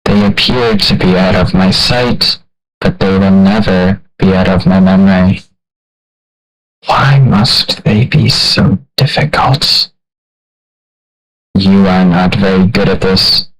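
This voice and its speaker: A man voicing a cold, all-seeing video game villain who speaks in a measured, formal, condescending and menacing manner. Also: deep voice, fairly bright tone, soft background music, heavily acted, low-quality recording. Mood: cold menace. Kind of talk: video game character voicelines.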